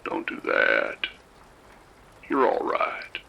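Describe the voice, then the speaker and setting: A man playing a calm, soft-spoken abductor in an audio drama, with a gravelly, whispery voice and a slow, coaxing manner. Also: dull audio, a little hiss or hum. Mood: coaxing menace.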